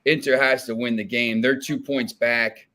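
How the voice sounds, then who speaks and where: A man's voice: medium pitch, steady tone, moderate pace, bright, forceful tone. A man, chatting casually about soccer bets, rambling with restarts and fillers. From New Jersey, United States. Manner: rambling, repeats words, many fillers. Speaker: soccer betting guest. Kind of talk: casual betting chat.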